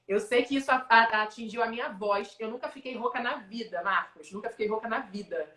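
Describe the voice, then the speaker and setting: Brazilian woman, sports announcer, fairly high voice, lively storytelling, echoey room. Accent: Brazilian Portuguese. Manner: animated storyteller, quick asides. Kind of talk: casual interview over a live stream. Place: home, online video call.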